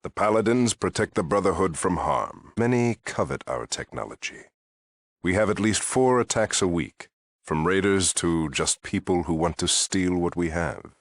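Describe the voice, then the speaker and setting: An actor playing a stern, proud paladin commander in a video game, talking in a clipped, formal, haughty way. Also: male voice, deep voice, animated, moderate pace, dry recording, clean studio sound. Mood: brusque, formal. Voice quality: gravelly. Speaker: head of a military order. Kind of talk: dramatic video game dialogue.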